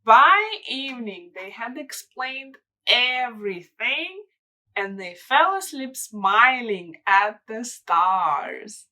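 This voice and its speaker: A woman's voice: a little high, big pitch swings, takes it slowly, pushed and bright. A woman reading aloud and informally teaching children, explaining things simply step by step. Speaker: children's story reader and drawing teacher. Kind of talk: read-aloud and informal craft lesson for children.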